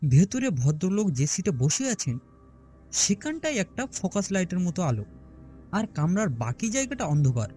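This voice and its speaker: A man narrating a horror story in the first person, expressive and theatrical in his delivery. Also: high-pitched, moderate pace. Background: prominent music.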